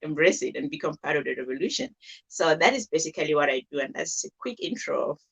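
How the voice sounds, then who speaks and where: A woman's voice: low-pitched, lively intonation, fast, vocal fry, slightly rough, poor audio. A woman from Cameroon, an author and speaker, answering as a guest on a live-stream show in a friendly, easygoing way. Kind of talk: interview guest.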